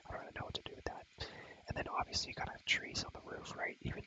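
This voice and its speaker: Whispering young man, crisp ASMR ramble. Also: very fast. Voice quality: breathy, unvoiced whisper. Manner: rambling, repeats phrases softly, slangy. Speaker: ASMR creator showing a game world. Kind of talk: ASMR, casual whispered game tour.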